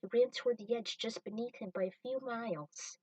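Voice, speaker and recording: A woman's voice: fairly high pitch, a little fast, darkish tone, dry recording, far from the mic, dull audio. A woman reading scripted story narration, action scenes told in prose. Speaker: narrator and reader of a fan fiction story.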